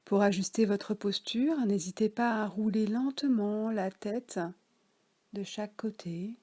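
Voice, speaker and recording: French lady talking you through relaxation, very slow, mellow and half whispered. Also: mid-range voice, slightly rough. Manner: slow, soft, partly whispered guidance. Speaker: relaxation guide. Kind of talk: guided yoga nidra relaxation.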